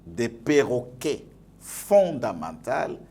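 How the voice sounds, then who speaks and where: A man's voice: medium pitch, big pitch swings, slow pace, mellow. A man giving political commentary in conversation, mixing French and Lingala and posing rhetorical questions. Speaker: guest commentator.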